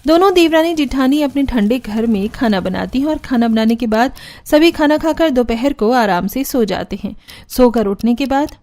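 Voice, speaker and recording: A woman's voice: on the high side, lively intonation, normal speed, darkish tone. A woman narrating a scripted Hindi cartoon story.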